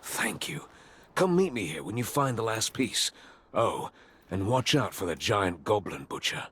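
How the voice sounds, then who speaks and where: Grave male commander, courteous, husky, low, expressive pitch, fantasy game dialogue. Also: measured pace, exaggerated voice. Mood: instructing.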